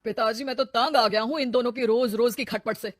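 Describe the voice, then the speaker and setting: A woman's voice: a little high, fairly even intonation, fairly quick, heavy background noise, pitched below the performer's own voice, natural voice. A woman voicing an exasperated adult son, weary of family squabbles, in a cartoon story.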